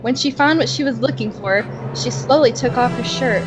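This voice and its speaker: A woman's voice: on the high side, normal speed, faint music behind, low-quality recording. Giggling young woman reading aloud. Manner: giggly, sarcastic comments between passages. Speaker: fan fiction reader. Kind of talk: mock-dramatic reading with laughing asides.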